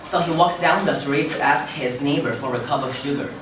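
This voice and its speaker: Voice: medium pitch, fairly quick. A performer reading scripted storybook narration in a reader's theater performance. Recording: very echoey, distant, noisy room.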